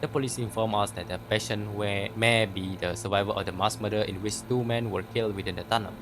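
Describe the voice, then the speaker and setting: Low-voiced man, noisy gaming commentary. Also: fairly even intonation, fast talker. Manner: stumbling read-aloud, swears freely. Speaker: gaming video host. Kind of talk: casual let's play commentary with reading aloud.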